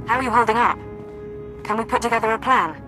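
An actress playing a pragmatic female intelligence officer in a video game, earnest and measured with some urgency. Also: on the high side, over music, close to an everyday voice, low-quality recording. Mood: urgent, earnest. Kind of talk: dramatic video game voice acting.

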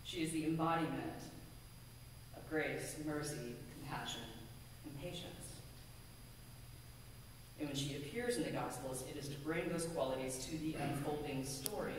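A woman minister giving a measured, earnest sermon from a pulpit in a church sanctuary, with a pulpit microphone and a distant camera. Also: low voice, background noise. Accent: American. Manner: measured, deliberate, earnest preaching with emphatic lists. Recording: echoey sanctuary from far off.

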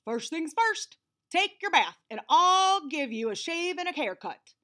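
Woman putting on a booming, excitable imaginary creature. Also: measured pace, heavily acted. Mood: eager, confident. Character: big jolly pink imaginary creature friend, male, exuberant.

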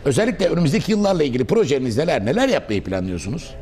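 A middle-aged man with a slightly high voice hosts a business interview in a cafe, talking very fast with a lively, swooping delivery. His speech is formal and flowery, and he often jumps in to finish his guest's sentences. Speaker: interviewer host. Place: indoor cafe restaurant.

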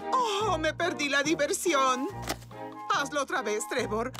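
A woman's voice: high voice, lively intonation, a little slow. A woman voicing a fussy, cheerful middle-aged mother in a cartoon dub, chirpy in manner. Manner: chirpy, fussing. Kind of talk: cartoon dubbing. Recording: music playing behind.

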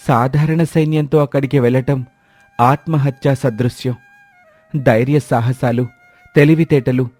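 A man voicing a cautious, loyal royal minister who advises in a measured way, in a storybook tale. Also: mid-range voice, dark tone, no room sound, natural voice. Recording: dry, faint music behind.

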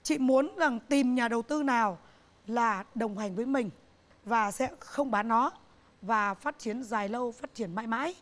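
Earnest middle-aged woman, studio interview. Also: fairly high pitch. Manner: earnest, repeats phrases, halting restarts. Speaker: business owner, guest. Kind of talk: TV talk show interview. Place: TV studio set, indoors.

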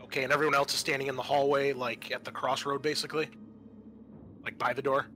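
A man working as game master, improvising narration for a tabletop roleplaying game in a halting, thinking-aloud way. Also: medium pitch, level tone, over music.

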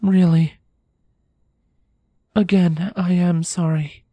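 A man's voice: high-pitched, little pitch movement, slow, dark tone, no room sound, voice pushed up. A man voicing a composed, guarded female unicorn who speaks politely and firmly in a story reading. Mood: apologetic, firm.

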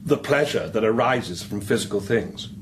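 Man acting careful priest in a radio drama, kinda hesitant, gentle probing questions, noisy background. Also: on the low side, animated, normal speed, natural voice. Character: thoughtful, cautious male priest interviewing an artificial intelligence. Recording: clear background noise.

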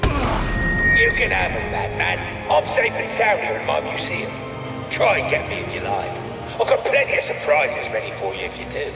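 A man's voice: fairly high pitch, huge pitch swings, moderate pace. An actor playing a crime boss who taunts his enemy over a broadcast. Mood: taunting. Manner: taunting, drawn out. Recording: roomy, distant broadcast with music.

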